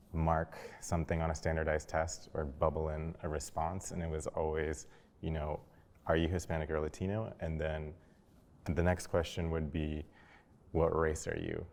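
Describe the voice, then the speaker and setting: A man's voice: rumbling low, a little mellow. A man hosting a discussion, welcoming his guests in a friendly way and asking them questions. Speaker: host.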